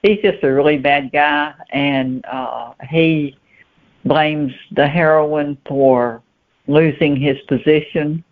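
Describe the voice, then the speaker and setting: A woman giving plain-spoken, halting answers as a guest novelist in a conversational interview. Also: deep voice, fairly even intonation. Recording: muffled, thin phone-line sound.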